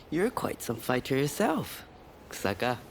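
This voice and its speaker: A man's voice: mid-range voice, a little hiss or hum, exaggerated voice. A man playing an easygoing, confident samurai ally in a video game cutscene, wry and self-assured.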